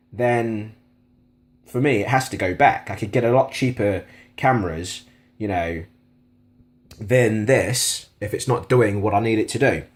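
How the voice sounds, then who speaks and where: A British man giving an informal, matter-of-fact product review to camera, speaking in a plain-spoken, complaining way. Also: fairly low pitch. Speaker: product reviewer on a video channel.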